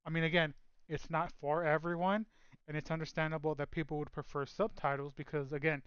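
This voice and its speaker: A man's voice: a little high, little pitch movement, fairly quick, fairly bright tone, clean tone, no echo, highs cut off. A man co-hosting a casual chat show, rambling with lots of fillers and restarts. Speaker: podcast co-host.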